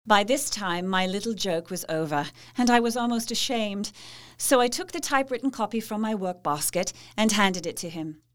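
A woman's voice: mid-range voice, animated, moderate pace, a bit bright, natural voice. An actress playing a polite, devoted young Victorian wife in a gothic audio drama, formal and heartfelt, as if telling her diary. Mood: composed, recounting. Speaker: actress narrating and playing dialogue.

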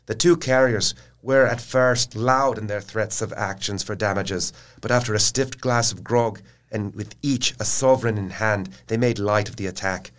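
An actor playing a dutiful asylum doctor, reading out a formal report in an orderly, matter-of-fact way for an audio drama. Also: male voice, fairly low pitch, normal speed, few pauses, fairly bright tone, lightly acted. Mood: composed.

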